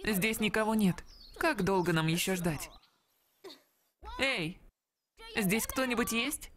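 A woman's voice: medium pitch, slow pace, fairly bright tone, a little hiss or hum. A woman voicing a brash, curious teenage boy in a dubbed fantasy cartoon, chatty and cocky. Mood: eager, friendly. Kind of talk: Russian dub of animated fantasy series.

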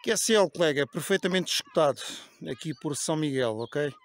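A man's voice: a little high, animated, brisk. A man in his forties speaking courteously as an amateur radio operator, recorded outdoors on a phone at arm's length. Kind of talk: casual radio contact chat. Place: outdoors on a hillside above a lake.

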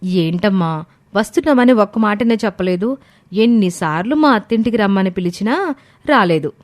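A woman's voice: medium pitch, expressive, normal speed, natural voice. A woman voices a young married daughter in a storybook drama. She speaks in a medium register with a caring, solicitous manner toward her parents. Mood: surprised.

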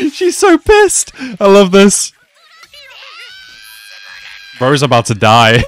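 A man's voice: sits high, huge pitch swings, very slow. A man in his twenties giving slangy, amused reaction commentary in a casual way, close to a desk microphone. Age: 20s. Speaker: reaction video host. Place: small indoor room.

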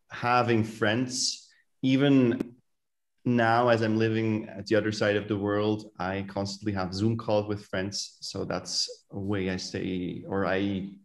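This is a man from Switzerland in his forties, talking on a panel over a video call. His voice sits fairly low. He speaks with care and sometimes restarts a sentence. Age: 40s. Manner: measured, searching for words. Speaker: panel guest, author.

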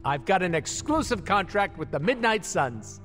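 A man's voice: sits high, moderate pace, soft background music. A man playing an irreverent, wisecracking mercenary antihero in a video game, sarcastic and flippant. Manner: sarcastic, flippant, cartoonishly animated. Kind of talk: comic video game character acting.

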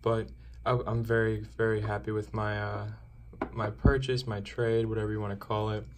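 A young man chatting casually as a coin-collecting vlogger, relaxed and rambling with filler words, filmed on a phone. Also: fairly low pitch, normal speed, noisy background. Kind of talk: casual vlog chat. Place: car, then indoors at a desk.

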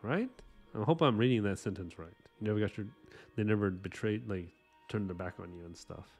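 A man whose first language was Korean talks in a deep, easygoing ramble to his live chat from a home art desk. He fills pauses with "um" and "like", and soft music sits faintly underneath. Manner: relaxed, rambling, many fillers. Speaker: artist streamer. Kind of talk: casual chat with live chat while painting.

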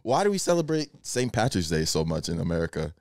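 A man's voice: low voice, very animated, quick pace, a little mellow, no echo. A man around his thirties chatting casually as a podcast co-host. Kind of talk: casual chat. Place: small indoor podcast room, seated. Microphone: boom-arm studio microphone.

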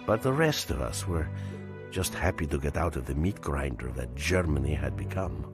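A man's voice: rumbling low, animated, normal speed, music in the background. An actor playing a war-weary Soviet soldier narrating his memories in a game. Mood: weary, wry.

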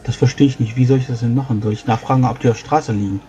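A man with a mellow, relaxed voice plays his part in a tabletop role-playing session. He explains things haltingly at an average male pitch, with music playing behind him. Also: lo-fi sound. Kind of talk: in-character improvised game dialogue.

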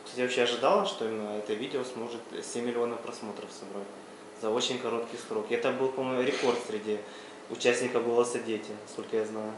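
A woman interviewer asking brisk questions in a press room, often opening with a quick aside. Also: rumbling low, steady tone. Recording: echoey room with faint background noise.

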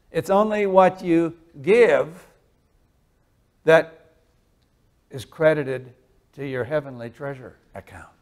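An older male pastor in his sixties delivers a sermon in a small chapel, his fairly high voice rising to loud emphasis and then falling to a near hush. He talks with his hands, and the camera picks him up from across the room. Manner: animated preaching, wide swings from loud emphasis to soft hush; gestures with both hands while speaking.

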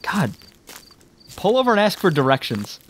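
Lively teasing man with dry wisecracks. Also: sits high, normal speed, a little hiss or hum. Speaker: let's play co-host. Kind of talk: casual gameplay commentary.

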